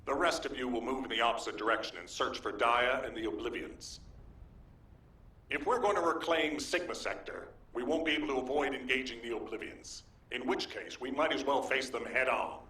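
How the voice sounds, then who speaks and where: An actor playing a decisive, authoritative military commander in a video game, giving orders firmly. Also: male voice, mid-range voice, brisk, sharp tone, lightly acted. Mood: firm, commanding. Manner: firm, commanding delivery. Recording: echoing, distant from the mic.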